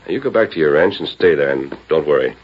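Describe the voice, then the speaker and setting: An actor playing a noble, authoritative masked cowboy hero in a 1940s radio western, speaking crisply and earnestly. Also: male voice, extremely low pitch, animated, fast talker. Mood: grave, confident. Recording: muffled, hissy 1940s radio recording.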